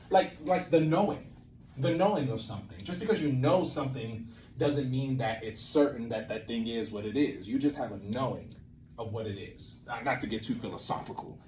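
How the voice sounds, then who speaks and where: A man's voice: mid-range voice, darkish tone, a little hiss or hum, poor audio. A man giving an informal whiteboard lesson, thinking aloud in a rambling way and often interrupting himself. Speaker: creative methods teacher and playwright.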